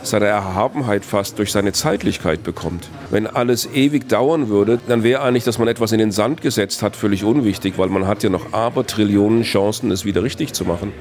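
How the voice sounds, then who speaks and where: A man, a philosophy professor answering interview questions in a measured, reflective way. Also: lowish voice, a little mellow. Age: 60s. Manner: measured, reflective, builds long reasoned sentences.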